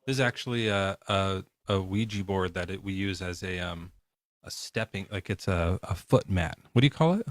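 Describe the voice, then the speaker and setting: A man's voice: low voice, normal speed, no echo. A male podcast host talking casually from his garage in a jokey, loose way, with plenty of swearing. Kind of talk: casual debate chat. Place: home garage.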